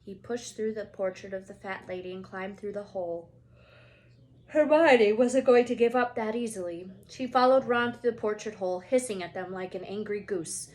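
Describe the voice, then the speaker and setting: A woman storyteller reading a children's book aloud in an expressive style. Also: mid-range voice, normal speed, rough recording.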